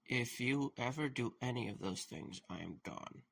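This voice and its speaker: A man playing a wary, blunt questioner in an audio drama, with a gravelly, husky voice and a probing, sardonic manner. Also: mid-range voice, expressive, normal speed, fairly bright tone, full character voice. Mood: wry, impatient.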